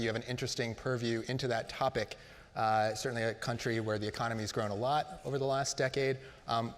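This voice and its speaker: A man moderating a stage conversation, easygoing with frequent filler sounds. His mid-range voice rings with the long reverb of a large hall. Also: medium pitch. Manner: relaxed, with filler ums and uhs. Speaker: interviewer, moderator. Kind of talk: onstage interview. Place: conference hall.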